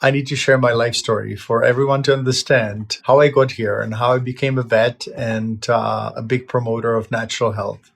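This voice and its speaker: A man's voice: medium pitch, flat delivery, normal speed, vocal fry. Man, fifties, from Czechoslovakia, veterinarian, casual storytelling, reflective, lots of fillers. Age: 50s. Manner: reflective, with frequent "uh" fillers and restarts. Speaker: veterinarian sharing his life story.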